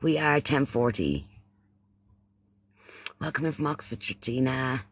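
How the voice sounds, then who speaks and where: A man's voice: medium pitch, big pitch swings, slow pace, creaky, husky, dry recording. A man from Ireland with an Irish accent hosting a casual livestream chat, often repeating phrases and using pet names for those he addresses. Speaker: livestream host.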